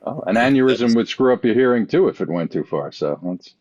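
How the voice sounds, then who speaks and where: A man's voice: medium pitch, big pitch swings, fairly quick, darkish tone. An older man chatting casually as a podcast guest. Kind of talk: casual chat. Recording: dull lo-fi call audio.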